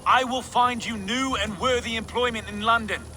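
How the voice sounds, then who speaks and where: An earnest Saxon official, high and bright. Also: male voice, steady tone, normal speed, faint music behind, exaggerated voice. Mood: impassioned appeal. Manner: earnest, oratorical. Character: earnest Saxon town official pleading for peace. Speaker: town reeve. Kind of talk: dramatic video game acting.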